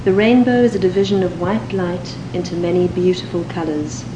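Woman, Cape Town South African accent, measured, thoughtful, echoey room, background hiss. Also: on the low side, dull audio. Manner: measured and thoughtful, with occasional ums. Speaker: dialect archive speaker. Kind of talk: reading a set passage, then an unscripted monologue.

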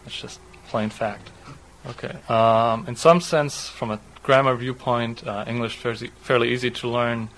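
A man in his twenties from southwest Germany, a native German speaker with a German accent, speaking in a halting, explanatory way. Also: medium pitch, fairly even intonation, fairly bright tone, a little hiss or hum, rough recording. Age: 20s. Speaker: interviewee, doctoral student. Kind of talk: read passage, then unscripted personal account.

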